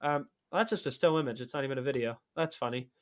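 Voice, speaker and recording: A man hosting a casual livestream, hyped and rambling through giveaway and show announcements. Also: mid-range voice. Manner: hyped, shouty openings, fast rambling announcements. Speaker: livestream host.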